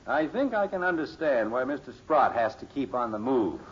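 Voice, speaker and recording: Middle-aged radio sitcom father male actor, dry and doubtful, animated pitch, dull vintage recording. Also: close to an everyday voice. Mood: skeptical. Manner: measured, wry. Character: patient, skeptical middle-aged father, drily wry. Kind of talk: 1950s radio sitcom acting. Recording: muffled, hissy old radio recording.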